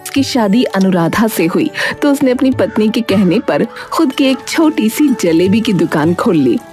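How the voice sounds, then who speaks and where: A woman giving steady, scripted narration for a cartoon story. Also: medium pitch, measured pace. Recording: faint music behind.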